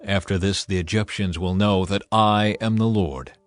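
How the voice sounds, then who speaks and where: A man's voice: low voice, fairly even intonation, moderate pace, no room sound, faint music behind, voice pushed down, close to an everyday voice. Man voicing commanding, sovereign God of Israel. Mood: commanding, resolute. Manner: authoritative, declarative. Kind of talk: dramatized scripture reading.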